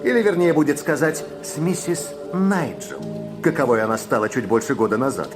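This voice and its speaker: An actor playing a sharp, cool-headed male detective who speaks curtly and accuses without hesitation, in a radio play. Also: medium pitch, animated, brisk, music in the background, close to an everyday voice. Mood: accusing. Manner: curt and accusing.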